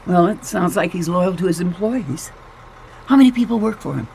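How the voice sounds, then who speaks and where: A man playing an eager, inquisitive office assistant to a detective in a radio mystery, with a creaky voice. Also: sits high, expressive, moderate pace, darkish tone, a little hiss or hum, low-quality recording. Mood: curious. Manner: inquisitive, keen. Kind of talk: radio mystery drama acting.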